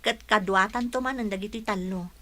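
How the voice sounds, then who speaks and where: A woman acting a kindly, organising teacher in a radio drama, brisk and helpful. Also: medium pitch, sharp tone, natural voice. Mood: kindly, reassuring.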